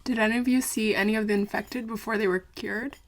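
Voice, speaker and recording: An actress playing a polite, earnest young investigative reporter with an American accent in an audio drama. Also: female voice, fairly high pitch, steady tone, fast talker, close to an everyday voice. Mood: careful, sympathetic. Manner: polite, wry. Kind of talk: audio drama acting, narrated recorder diary.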